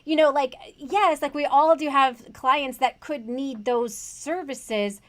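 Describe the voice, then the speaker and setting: A woman's voice: high voice, slow. A woman hosting a casual conversation about online tutoring, earnest and chatty, asking rhetorical questions. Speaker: host, online tutoring coach.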